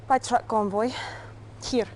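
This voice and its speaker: A woman's voice: fairly high pitch, steady tone, slow pace, a little mellow, slight background noise. A woman playing a commanding Soviet military officer in a game cutscene. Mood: brisk reporting. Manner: urgent, commanding. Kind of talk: 1990s game cutscene acting.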